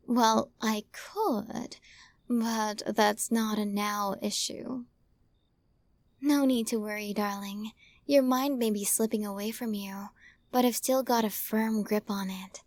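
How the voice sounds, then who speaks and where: Woman acting as a sweetly possessive, high-voiced yandere witch. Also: no echo. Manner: soft coaxing, teasing, drifting into breathy whispers. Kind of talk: ASMR roleplay, intimate acting to listener. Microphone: close studio mic, dry.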